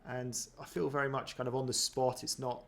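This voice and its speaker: A man's voice: mid-range voice, little pitch movement, brisk, creaky, a bit bright. A man chatting casually as he reacts to footage of himself, self-deprecating, with many ums and restarts. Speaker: running vlogger.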